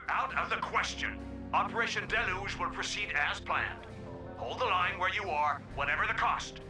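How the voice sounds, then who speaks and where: A man's voice: high voice, moderate pace, reverberant, music in the background, lightly acted. A man playing an imperious, stubborn general in a video game, stern and commanding over a distant radio call. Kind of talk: video game cutscene acting.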